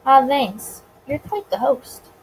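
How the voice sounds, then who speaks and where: A woman's voice: high voice, very animated, takes it slowly, full character voice, low-quality recording. A woman voicing a guarded, wry male detective in a visual novel, speaking dryly and a little awkwardly. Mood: grateful. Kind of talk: visual novel character reading.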